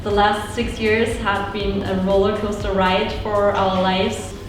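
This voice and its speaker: Flat-voiced Austrian-raised woman, distant echo. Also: medium pitch, a little slow. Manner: reflective, repeats "kind of like". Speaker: guest, certified coach. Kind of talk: interview answers. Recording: echoey, distant mic with faint noise.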